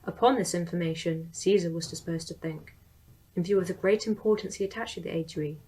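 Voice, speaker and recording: A young woman giving a formal, steady dramatic reading of an ancient historical account. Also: on the low side, a little fast, breathy edge, rough recording. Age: young adult. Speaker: student reader.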